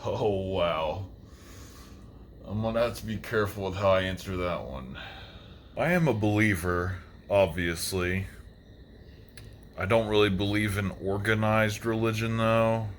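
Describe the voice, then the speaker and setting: A man voicing an undead metal musician, a brooding but polite reaper giving earnest, measured interview answers. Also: lowish voice, a little hiss or hum, lightly acted. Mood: candid, brooding. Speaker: talk show guest.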